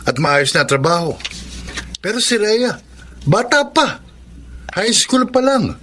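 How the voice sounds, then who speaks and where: A man's voice: high voice, big pitch swings, takes it slowly, a bit bright, a little hiss or hum, full character voice. A man playing a stern, disapproving middle-aged older brother who scolds insistently in a radio drama. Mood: stern scolding. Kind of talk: Tagalog radio drama acting.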